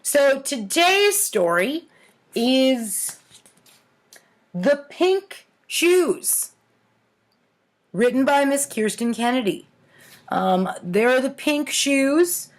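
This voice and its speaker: A woman's voice: high voice, animated, very slow, long pauses. An adult woman hosting a storytime, reading in a tongue-in-cheek manner with casual asides. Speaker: host of a storytime series reading own childhood writing.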